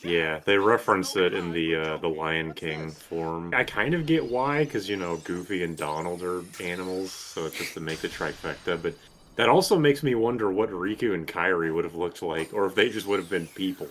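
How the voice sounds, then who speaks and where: A man's voice: low-pitched, moderate pace, fairly bright tone, slight background noise. A man giving casual, rambling gameplay commentary with some swearing. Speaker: video game streamer. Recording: game music behind the voice. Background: video game music.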